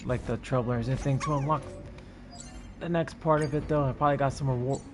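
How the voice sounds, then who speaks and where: A man's voice: mid-range voice, steady tone, brisk. A man chatting casually and informally as he streams a video game. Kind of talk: casual livestream chat. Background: game music.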